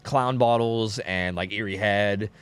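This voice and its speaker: A man's voice: lowish voice, measured pace, clean tone. A man chatting casually while streaming a game, rambling, with many fillers and casual swearing. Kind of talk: casual chat while gaming.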